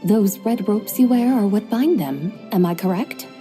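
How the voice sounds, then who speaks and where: A woman's voice: medium pitch, lively intonation, measured pace, dark tone, soft background music, lightly acted. A woman voicing a drowsy, formal mystic woman with grand, old-fashioned manners in a game cutscene. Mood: stately.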